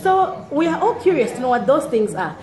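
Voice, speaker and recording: A woman's voice: sits high, sing-song, fairly quick, darkish tone. Zambian woman telling a neighborhood story. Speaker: blogger and activist. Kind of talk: personal storytelling to camera. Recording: echoey room.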